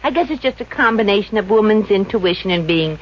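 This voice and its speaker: A woman's voice: mid-range voice, expressive, a little fast, natural voice. A woman playing a confident, street-smart showgirl with a breezy, sassy, slangy delivery in 1950s radio comedy style. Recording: dull, noisy old-time radio recording.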